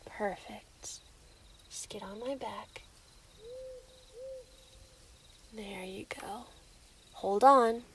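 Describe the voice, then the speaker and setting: A woman playing an obsessive, possessive young werewolf in love in an intimate ASMR roleplay, cooing and menacing in breathy half-whispers. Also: mid-range voice, slow pace, slight background noise. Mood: coaxing, satisfied.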